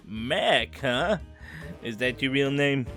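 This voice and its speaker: A man's voice: mid-range voice, lively intonation, slow pace, fairly bright tone, faint music behind. A man playing a friendly, teasing crook in prison in an audio drama, talking in a playful, colloquial way. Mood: casual, amused. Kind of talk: audio drama character acting.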